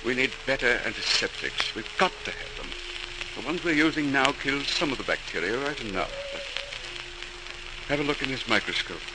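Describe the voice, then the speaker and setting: A man's voice: mid-range voice, animated, moderate pace, close to an everyday voice. Actor as young male doctor, very crackly radio. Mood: tired concern. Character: young, dedicated, courteous British army doctor. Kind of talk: 1940s radio acting. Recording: very noisy, crackly 1940s radio recording.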